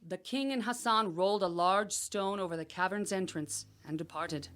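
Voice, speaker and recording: An actress playing a measured, serious storybook narrator in a scripted radio drama. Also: female voice, mid-range voice, background noise, close to an everyday voice. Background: musical score.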